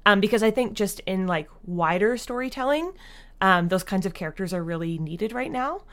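A woman's voice: medium pitch, expressive, moderate pace. A woman answering as an interview guest in a conversational way, with hesitant, filler-heavy phrasing. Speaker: interview guest, audio drama creator.

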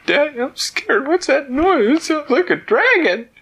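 A woman voicing a frightened little boy bear cub, squeaky and wailing. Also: steady tone, normal speed, noisy background. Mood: upset. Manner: squeaky, wailing, repeating himself.

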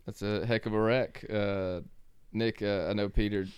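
A man's voice: on the low side, little pitch movement, moderate pace, clear, resonant tone, no echo. A man in his late twenties hosting a casual podcast chat, often hesitating and restarting his sentences. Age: late 20s. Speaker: podcast host.

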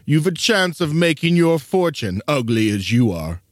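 A man voicing a saucy, condescending male animal in an audio storybook, with a drawn-out delivery. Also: fairly high pitch, sing-song, noisy background. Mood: saucy, chummy.

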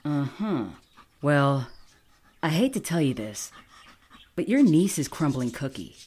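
A woman's voice: low-pitched, very animated, unhurried, darkish tone, slightly rough, heavily acted. A woman playing a kindly, frank veterinarian with a casual manner, in a naturalistic audio drama. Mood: serious, frank.

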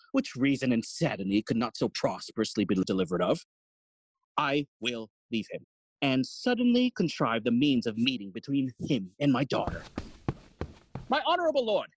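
Pompous, husky old Polonius. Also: female voice, low voice, no echo, exaggerated voice, rough recording. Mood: fawning. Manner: pompous, fussy, sing-song. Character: Polonius, pompous meddling old male courtier. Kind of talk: classical Shakespearean stage acting for audio play.

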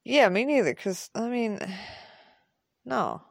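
A woman's voice: on the high side, expressive, slow pace, vocal fry, no echo, clean studio sound, voice pushed up, exaggerated voice. A woman playing a blunt, protective, foul-mouthed man in an audio drama, rambling and emphatic. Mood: pensive. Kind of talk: naturalistic audio drama dialogue.

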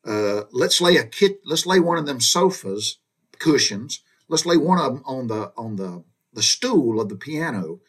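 A man's voice: mid-range voice, sing-song, measured pace, slightly rough. A man from Georgia with a Southern accent, telling his own childhood stories in a folksy, meandering way, often restarting his sentences and wandering into asides. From Georgia, USA. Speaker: storyteller recounting his childhood. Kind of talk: casual spoken storytelling.

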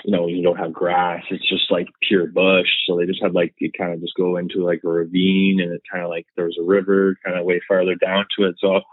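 A man's voice: low-pitched, monotone, fairly quick, hardly any pauses, no echo. A man in his thirties with a Canadian accent, a podcast guest chatting casually in a rambling way with lots of fillers. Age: 30s. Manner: rambling, many fillers and laughs. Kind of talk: casual chat. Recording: tinny phone-like line.

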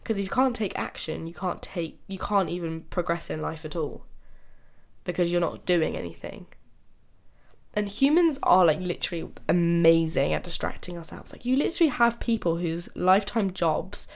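Young female podcast host, slightly low-pitched, nasal from illness, casual, laughs easily. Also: animated, normal speed, creaky. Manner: chatty, laughs often, trails off and restarts. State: ill, nasal-sounding. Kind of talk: casual solo podcast talk.

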